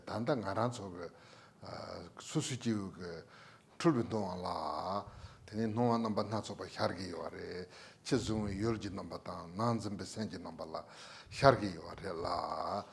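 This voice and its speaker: Gravelly low-voiced Buddhist teacher. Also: male voice, a little mellow. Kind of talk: Dharma teaching in Tibetan.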